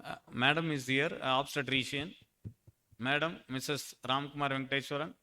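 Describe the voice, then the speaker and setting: A man's voice: medium pitch, fairly even intonation, normal speed, sharp tone. A man moderates a medical conference panel, firing questions at the panelists and slipping in wry jokes.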